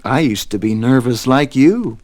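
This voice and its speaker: A man voicing a kindly, reassuring male vagabond in a storybook, speaking gently and soothingly. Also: medium pitch, expressive, normal speed, faint music behind, pitched above the performer's own voice, natural voice.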